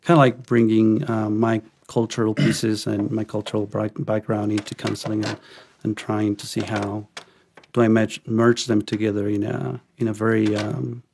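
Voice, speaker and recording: Low gravelly man from Ecuador. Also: moderate pace, slight background noise. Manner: relaxed, hesitant with many ums and restarts. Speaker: podcast guest. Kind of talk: casual interview conversation.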